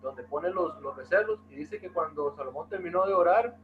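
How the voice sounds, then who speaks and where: A man's voice: fairly high pitch, expressive, moderate pace, narrow, tinny audio, a little hiss or hum. A man in an online Bible study, earnestly explaining a passage over a video call. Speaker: study participant.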